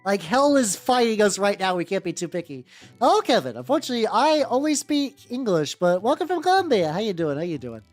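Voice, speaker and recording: A woman's voice: mid-range voice, sing-song, brisk, darkish tone. A woman streaming casually as a VTuber, chatting loosely and swearing freely while she paints miniatures and answers her viewers. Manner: rambling, chatty and profane, reacting to chat. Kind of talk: casual livestream chat. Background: faint background music.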